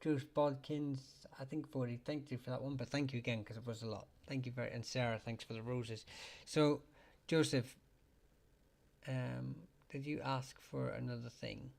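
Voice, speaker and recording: A man hosting a live chat, talking haltingly with many fillers and restarts, his voice getting hoarse as he keeps clearing his throat. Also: medium pitch, level tone, a little mellow. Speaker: livestream host answering surname questions. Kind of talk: casual live-chat talk.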